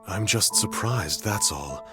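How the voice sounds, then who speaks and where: A man playing a gentle, pensive dreamer in a video game, thoughtful, formal and measured. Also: on the low side, animated, loud music behind the voice. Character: gentle, pensive, melancholy dreamer, courteous and reflective.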